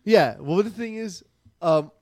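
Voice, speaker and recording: Young guy podcast cohost, kinda high voice, rambly, dead dry room. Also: expressive, unhurried, a little mellow, dry recording. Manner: rambling, filler-heavy, joking. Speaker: sports podcast co-host. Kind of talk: casual sports chat.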